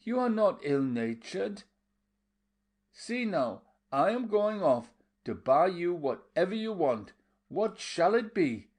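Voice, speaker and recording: A man's voice: sits high, big pitch swings, slow pace, a little mellow, voice pushed up, full character voice. Man playing a brooding older villager, curt and insistent, slightly raised voice, storybook reading. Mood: coaxing. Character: brooding older man, surly then coaxing.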